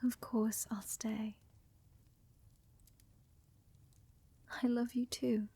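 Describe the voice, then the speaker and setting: Breathy, slow-spoken vampire countess, acted by a woman. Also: a little high, level tone, full character voice. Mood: fond, reassuring. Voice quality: soft, breathy, often whispering. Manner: gentle, reassuring, unhurried, affectionate. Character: tender, doting vampire countess, flirtatious lover. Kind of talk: soft romantic ASMR roleplay. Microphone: close microphone, dry.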